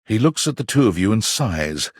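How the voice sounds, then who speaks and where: Husky, low-voiced man narrating a game. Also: big pitch swings, moderate pace, no echo, studio quality. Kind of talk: scripted second-person story narration.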